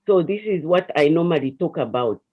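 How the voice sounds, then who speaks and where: A woman with a Zambian accent and a notably deep voice speaks as a guest in an online interview. She explains her counseling work earnestly, often restarting her phrases. The video call audio sounds dull and lo-fi. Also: expressive, a little fast.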